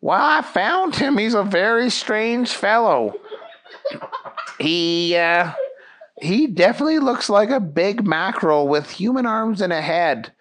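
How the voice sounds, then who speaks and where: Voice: mid-range voice, expressive, measured pace, fairly bright tone, voice pushed up. A storyteller voicing an eager, flustered policeman who rambles, hesitates and exclaims. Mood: baffled. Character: flustered, earnest, eager policeman named Jerry.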